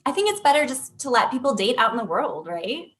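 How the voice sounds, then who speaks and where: A woman acts out a plain-spoken professional of thirty in a comedy read. Her pitch is a little high and swings freely, with a conversational, questioning delivery. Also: sing-song, fairly quick, fairly bright tone. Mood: friendly, casual. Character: indignant, plain-spoken thirty-year-old woman on a work meeting.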